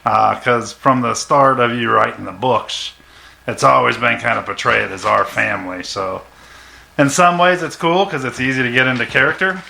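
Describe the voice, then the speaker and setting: A man's voice: medium pitch, moderate pace, gravelly, sharp tone. A man talking casually and candidly as an interview guest, a little hesitant. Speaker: audio drama performer guest. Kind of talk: casual chat. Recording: noisy room ambience.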